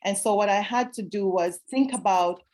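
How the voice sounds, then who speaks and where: A woman's voice: mid-range voice, normal speed, a little mellow. A woman teacher from Jamaica speaking on a video call, earnestly explaining classroom methods and repeating key phrases. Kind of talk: zoom talk answering questions.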